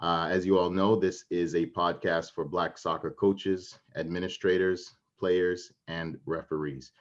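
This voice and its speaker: A man hosting a podcast, introducing a guest and asking questions in a friendly, measured way. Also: sits low, steady tone, muffled recording. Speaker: podcast host.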